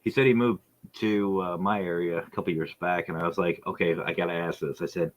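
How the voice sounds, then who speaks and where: A man's voice: low voice, fairly even intonation, fairly quick, muffled recording. A man hosting a podcast in casual chat, telling stories with plenty of laughs and swearing. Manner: profane, laughing storyteller. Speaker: podcast host.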